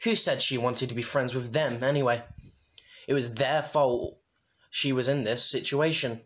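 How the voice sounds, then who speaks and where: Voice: mid-range voice, fairly even intonation, normal speed. An amateur reader narrating a story and breaking into informal, self-deprecating asides. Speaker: amateur fan fiction reader.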